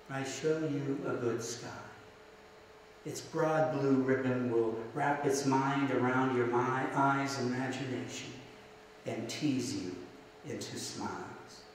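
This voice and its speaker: A man whose first language is Slovak, a poet giving a public reading, reading his poems slowly and deliberately and chatting between them with many fillers. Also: mid-range voice. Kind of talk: poetry reading with anecdotes. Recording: echoey hall, distant mic, faint noise.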